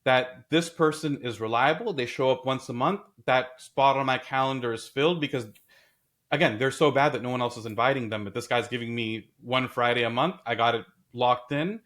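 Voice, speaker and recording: A man in a casual discussion, talking in an animated way and telling stories with acted quotes. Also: medium pitch, fairly quick. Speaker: podcast host.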